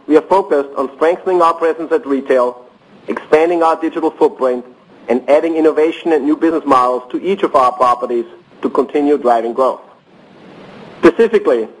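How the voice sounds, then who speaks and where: A man reading prepared financial results on a company conference call, in a measured, formal, businesslike way. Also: mid-range voice, low-quality recording.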